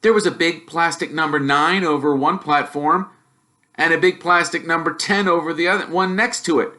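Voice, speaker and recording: A man reading a story aloud as expressive scripted narration. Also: on the high side, normal speed. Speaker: storybook reader.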